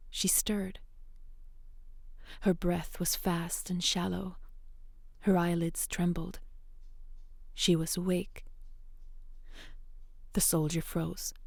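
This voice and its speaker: A woman narrating a dark fairytale in measured, suspenseful short phrases. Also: fairly low pitch, vocal fry, dry recording, clean studio sound.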